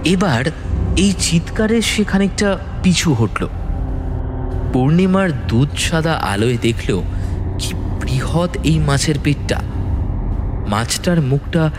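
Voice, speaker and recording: A man narrating a scripted horror story in a dramatic, suspenseful manner with deliberate pauses. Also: a little high, animated, background noise. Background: background music and sound effects.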